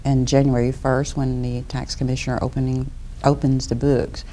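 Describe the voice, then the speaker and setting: Woman from Florida, middle-aged, mellow tone, measured explanations, laughs easily. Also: extremely low pitch, level tone, a little hiss or hum, rough recording. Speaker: property appraiser guest. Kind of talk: studio interview.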